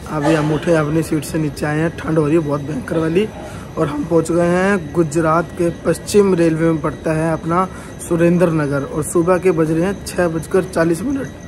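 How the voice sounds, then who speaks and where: A young man casually narrating his train journey as a travel vlogger, chatty and full of updates. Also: fairly high pitch. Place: on a train and station platforms. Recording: crowded train station noise.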